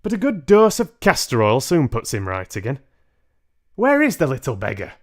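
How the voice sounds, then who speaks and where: A man's voice: mid-range voice, huge pitch swings, moderate pace, pitched above the performer's own voice. A man voicing a large, coarse, easily irritated father in a brusque, pompous manner for a storybook. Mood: blustering, exasperated.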